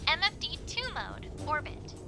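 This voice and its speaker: Woman acting young female pony co-pilot, high lively voice, studious, precise, audio drama, music behind. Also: moderate pace, gravelly, exaggerated voice. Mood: focused, precise. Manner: precise checklist reading.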